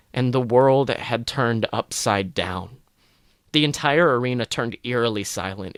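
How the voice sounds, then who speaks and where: A man narrating a first-person story in a dramatic, expressive way. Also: medium pitch, dry recording, clean studio sound. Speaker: podcast host reading fan fiction.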